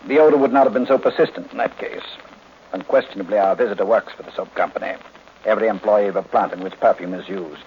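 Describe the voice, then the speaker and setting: An actor playing a pompous, imperious, sardonic genius detective in an old-time radio drama, with precise diction and easy irritation. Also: male voice, on the low side, fast, lightly acted. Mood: lofty, assured. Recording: muffled, noisy old-time radio recording.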